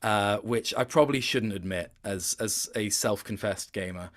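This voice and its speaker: A man's voice: fairly low pitch, moderate pace. A man in his late twenties talking casually as an interview guest, rambling and correcting himself as he tells stories. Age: late 20s. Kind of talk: casual gaming interview chat.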